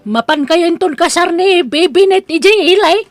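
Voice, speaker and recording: A woman's voice: very high voice, normal speed, soft background music. A woman playing a sensitive, good-hearted married daughter in an Ilocano audio drama, earnest and plaintive with an Ilocano accent. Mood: friendly, eager.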